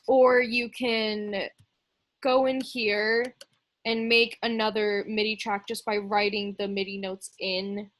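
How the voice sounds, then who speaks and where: A woman with a slightly high-pitched voice leads a music production tutorial. She explains each step casually and informally, thinking out loud as she demonstrates on screen.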